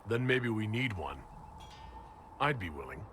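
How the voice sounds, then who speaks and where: A man playing a composed, earnest fantasy hero in a video game, measured and inquisitive. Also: lowish voice, big pitch swings, noisy background. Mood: earnest, resolute.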